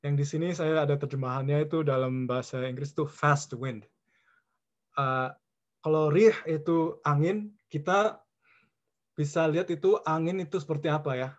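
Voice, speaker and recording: A man from California giving an informal Islamic lecture as a guest speaker, mixing in English words and pausing often mid-sentence in short phrases. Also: steady tone. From California, United States. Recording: dull, muffled low-fidelity audio.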